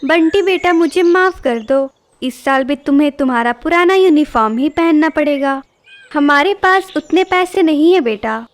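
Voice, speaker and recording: Woman voicing a high-pitched worried mother bird. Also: moderate pace, lightly acted. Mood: apologetic, sad. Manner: apologetic, earnest. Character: poor gentle mother bird, apologetic and worried. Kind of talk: Hindi cartoon voice acting.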